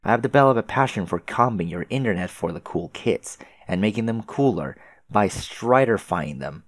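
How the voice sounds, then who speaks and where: A man voicing a pushy, excitable female alien troll who taunts in wordy, emphatic phrases for a comic dub. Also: lowish voice, normal speed, dry recording, natural voice. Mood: eager, insistent. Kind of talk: fan comic dub dialogue.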